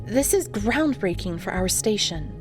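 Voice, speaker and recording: A woman's voice: medium pitch, expressive, unhurried, soft background music, natural voice. A woman acting as a dutiful military subordinate in a sci-fi audio drama, careful and deferential. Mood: insistent, respectful. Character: dutiful female military subordinate reporting to her commander.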